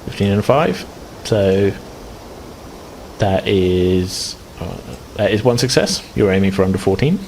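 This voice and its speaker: A man's voice: sits low, expressive, a little slow, a little mellow, rough recording. A man running a tabletop game as game master, improvising descriptions and often groping for words. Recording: clear background noise.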